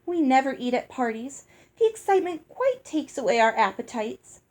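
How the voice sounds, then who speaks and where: A prim, complacent girl from a storybook, acted out by a woman reading aloud. She speaks in a raised pitch with firm, falsely dainty condescension. She sounds snooty and greedy. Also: sing-song, normal speed, darkish tone. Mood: prim, gushing.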